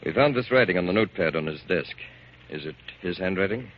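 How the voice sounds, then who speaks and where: Official police captain, old radio play, low and quick, clipped. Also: male voice, animated. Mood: businesslike. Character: brisk, formal police captain in Vienna. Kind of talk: 1940s American radio drama acting. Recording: muffled, faintly noisy 1940s radio recording.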